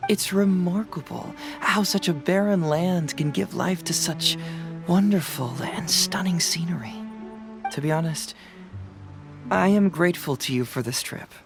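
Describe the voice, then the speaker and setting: A man voicing a young, sharp-witted detective in a game, playful and self-assured with light laughs. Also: sits high, creaky, heavily acted. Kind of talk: game voice acting.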